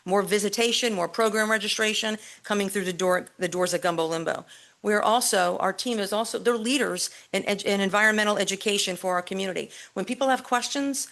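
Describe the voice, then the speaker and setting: A woman giving a loud, emphatic staff presentation at a public board meeting, speaking into a podium microphone with deliberate pauses. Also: medium pitch. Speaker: city recreation staff presenter.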